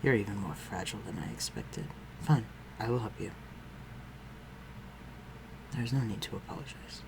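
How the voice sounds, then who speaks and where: A man playing an aristocratic vampire master in a scripted audio roleplay, speaking formally and with measured, condescending concern for the listener. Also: fairly low pitch, sing-song, gravelly, background noise. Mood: civil, aloof. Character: aristocratic male vampire master, civil, protective, coolly superior.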